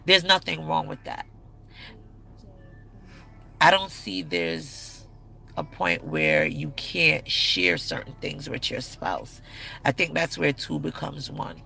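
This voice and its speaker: A woman's voice: deep voice, big pitch swings, takes it slowly, gravelly, long pauses, pushed and bright, rough recording. A woman in her thirties giving relationship advice in a blunt, candid, slangy way. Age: 30s. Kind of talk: casual advice talk to camera.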